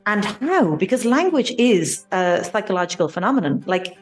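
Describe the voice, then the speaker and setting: A woman's voice: medium pitch, sing-song, normal speed, faint music behind. A young Irish woman, a language teacher, talking passionately and fluently as a guest in a podcast interview. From Ireland. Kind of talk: conversational interview.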